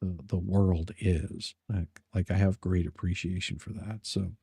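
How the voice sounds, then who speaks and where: Guy rambling on a livestream, kinda husky low voice, dry close sound, jokes around crudely. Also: level tone, a little slow, warm tone, no room sound. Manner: rambling, repeats words, crude joking. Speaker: livestream host. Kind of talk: casual livestream chat.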